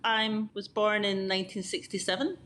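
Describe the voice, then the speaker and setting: A woman's voice: mid-range voice, fairly even intonation, moderate pace, fairly bright tone, slight background noise. A Scottish woman in her fifties, a podcast guest recalling her childhood in a reflective, detailed, conversational way over a remote call. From Scotland. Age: 50s. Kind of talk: conversational interview storytelling.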